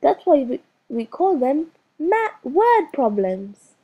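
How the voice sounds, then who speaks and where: A woman's voice: sits high, very animated, slow, narrow, tinny audio, pitched above the performer's own voice, heavily acted. A woman reading a storybook, voicing a female schoolteacher who gives firm, clipped instructions as her patience wears thin. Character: strained, patient-but-fraying female schoolteacher. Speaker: teacher.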